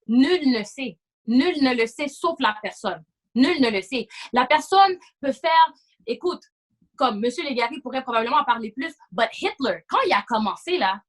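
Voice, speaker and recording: A woman with a high-pitched voice and a Quebec French accent takes part in a casual podcast panel. She speaks with emphasis, repeats her phrases and slips English words into her sentences.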